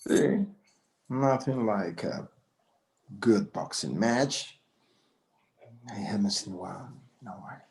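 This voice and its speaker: A man's voice: lowish voice, expressive, slow, gravelly, pauses often, darkish tone, full character voice. A man playing a proud, evasive old rancher father in a screenplay table read, hesitant and slipping between Spanish and English. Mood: content. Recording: roomy, echoey home recording.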